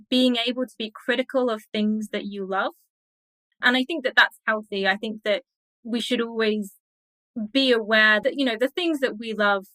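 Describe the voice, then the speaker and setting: A woman giving measured, explanatory answers as an academic guest in a thoughtful interview. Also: fairly high pitch, bright, forceful tone, dry recording, low-quality recording. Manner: measured, explanatory, uses everyday examples.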